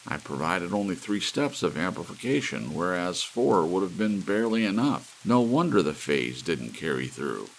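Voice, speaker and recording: A man performs an elderly, brainy scientist in a sci-fi audiobook. He lectures briskly and emphatically in a low, creaky voice with animated pitch, over a faint hiss of rain. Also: exaggerated voice, low-quality recording. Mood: muttering, intent. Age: 60s. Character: brisk, brainy elderly male scientist, intent and self-assured.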